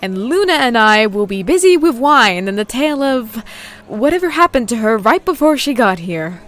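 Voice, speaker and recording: A woman's voice: on the high side, sing-song, moderate pace, fairly bright tone. A woman voicing a regal, lovelorn pony princess and older sister in a scripted audio drama, wistful and theatrical, with sighs. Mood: gracious.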